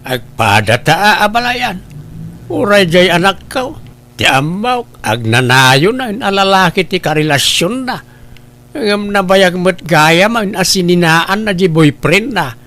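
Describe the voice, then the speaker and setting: A man's voice: sits high, big pitch swings, measured pace, bright, forceful tone, full character voice. Man putting on a chatty cheerful in-law mother. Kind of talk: audio comedy drama acting.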